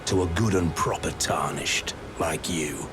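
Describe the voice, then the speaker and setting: An actor playing a gruff, friendly, seasoned warrior and mentor in a video game, plain-spoken and laconic. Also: male voice, rumbling low, a little slow, over loud music. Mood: measured, welcoming. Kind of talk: video game character dialogue.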